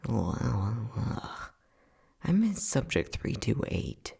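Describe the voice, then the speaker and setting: A man's voice: mid-range voice, huge pitch swings, slow pace, gravelly, a little mellow, breathy edge, exaggerated voice. A man playing an unhinged, bossy scientist who teases and condescends in a sardonic ASMR roleplay. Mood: mocking, theatrical. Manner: sardonic, teasing, condescending, with frequent sighs.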